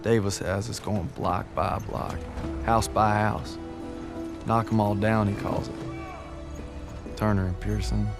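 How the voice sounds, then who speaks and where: Weary gravelly soldier narrating. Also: male voice, fairly low pitch, flat delivery, unhurried, long pauses, music in the background. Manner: weary, plain-spoken. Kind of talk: war game cutscene narration.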